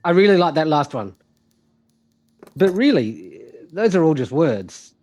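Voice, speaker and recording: Man playing a blustering CEO, wildly varied pitch. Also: very animated, a little slow, darkish tone. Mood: exasperated. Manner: blustering, impatient. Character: exasperated, blustering male company chief executive. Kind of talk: comic musical audio drama acting.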